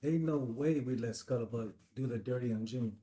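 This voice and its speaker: Mellow, streetwise loyal cop played by a man. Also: medium pitch, fairly quick, close to an everyday voice. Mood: defensive, loyal. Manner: slangy, casual street talk. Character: George, loyal streetwise male cop, laid-back. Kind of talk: screenplay table read acting.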